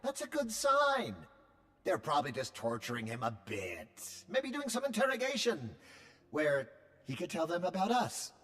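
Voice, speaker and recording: An actor voicing a chatty droid in a video game, prim and cheerfully tactless. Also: male voice, high-pitched, wildly swooping pitch, moderate pace, fairly bright tone, heavily acted.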